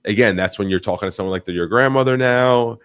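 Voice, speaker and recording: A young American man hosts a live online chat, rambling and animated, with frequent "like" and "you know" fillers. His voice is very low. The sound is dry and close, with no room echo. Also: fast talker, clear, resonant tone. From United States. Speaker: livestream host. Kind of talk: casual live interview.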